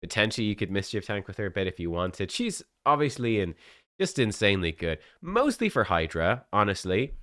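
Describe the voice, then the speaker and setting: A man's voice: fairly low pitch, very animated, a little fast, fairly bright tone, no echo, clean studio sound. A man with a cold, sniffly and coughing, chatting casually as a game content creator, with many fillers and restarts.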